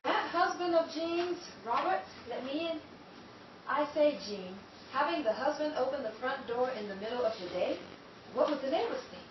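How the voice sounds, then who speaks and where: Woman as a high-pitched, haughty, conniving schemer. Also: lively intonation, normal speed. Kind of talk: theatrical audio drama acting. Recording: muffled, noisy, echoey distant recording.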